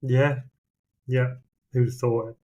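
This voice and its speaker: A man's voice: medium pitch, little pitch movement, slow, a little mellow, muffled recording. A man with a British accent, co-hosting a podcast in casual chat, with dry, sarcastic and sometimes sweary banter. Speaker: podcast co-host.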